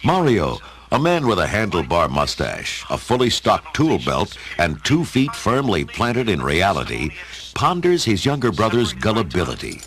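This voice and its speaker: A man giving dramatic, measured scripted narration for an audio story, in a theatrical storytelling manner. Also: lowish voice, wildly swooping pitch, a crowd in the background. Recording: music under the narration. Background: music.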